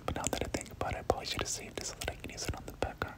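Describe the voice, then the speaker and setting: A person whispering ASMR game commentary in a breathy voice, often repeating words and short phrases over and over. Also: very fast. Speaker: gaming video host.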